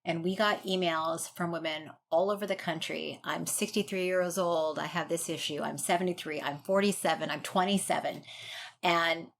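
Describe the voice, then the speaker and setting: An American woman with a fairly low voice talks as a medical expert being interviewed. She explains and gives opinions in an informal, conversational style. There is some faint noise behind her. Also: steady tone, normal speed. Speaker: guest doctor, urogynecologist and researcher.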